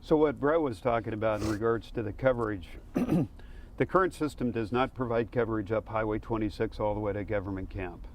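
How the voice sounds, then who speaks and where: A man speaking as a fire official, explaining matters in a halting, matter-of-fact way during an interview. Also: on the low side, slight background noise.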